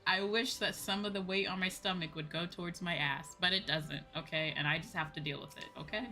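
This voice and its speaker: Female game streamer, slightly low bright voice, casual and emphatic, music behind. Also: fairly even intonation, brisk. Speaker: livestreamer. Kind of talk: casual gaming stream chat.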